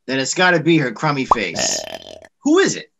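A woman's voice: very deep voice, huge pitch swings, moderate pace, gravelly, background noise, exaggerated voice. A woman playing an offhand, teasing teenage big brother in an amateur sitcom script reading. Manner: offhand, blunt.

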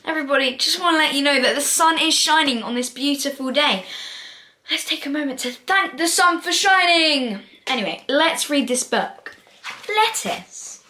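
A woman's voice: high-pitched, lively intonation, moderate pace, bright, forceful tone. A young girl reading a children's picture book aloud with careful expression and the odd stumble. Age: child, about 10 to 13. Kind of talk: read-aloud storytelling for children. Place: small room at home, indoors. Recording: echoey small room.

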